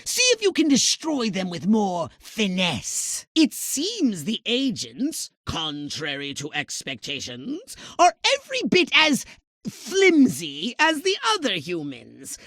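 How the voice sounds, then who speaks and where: A man voicing a pompous, short-tempered alien commander in a video game, haughty and precisely worded, with a nasal, sharp-edged delivery. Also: high-pitched, wildly swooping pitch, slow pace, dry recording, clean studio sound, full character voice. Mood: haughty disdain. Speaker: mission commander giving orders. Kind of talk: theatrical video game voice acting.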